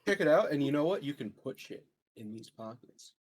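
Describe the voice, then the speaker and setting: A man's voice: mid-range voice, animated, a little fast, no room sound, low-quality recording. A man with a mid-range voice plays a tabletop game. He talks in a loose, casual, sweary style.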